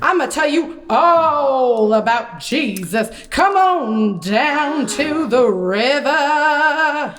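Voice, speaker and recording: A woman voicing a folksy, excitable male prophet puppet who tells stories theatrically in a children's puppet show. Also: high-pitched, heavily acted. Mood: exuberant preaching. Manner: folksy, theatrical, drawn-out delivery.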